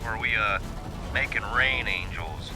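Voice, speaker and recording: A man's voice: fairly low pitch, moderate pace, music in the background, close to an everyday voice. A man acting as a logging crew worker in an audio drama, speaking with dry, teasing sarcasm. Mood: sarcastic.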